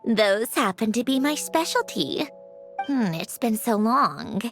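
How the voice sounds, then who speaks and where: Bright-pitched, nostalgic automaton girl. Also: very animated, takes it slowly, soft background music, heavily acted. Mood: playful, confident. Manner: reflective, articulate, matter-of-fact. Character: nostalgic, brisk young female automaton, quietly wry. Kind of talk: video game character acting.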